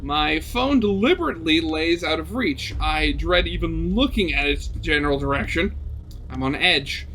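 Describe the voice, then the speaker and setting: Bright, higher-pitched man narrating a visual novel. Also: moderate pace, slight background noise. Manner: dramatic reading with brief conversational asides. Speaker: video game let's player reading a visual novel aloud.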